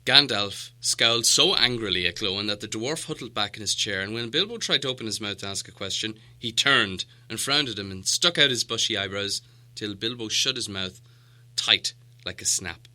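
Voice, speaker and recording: Bright, forceful male storybook narrator. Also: on the low side, normal speed. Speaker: audiobook reader.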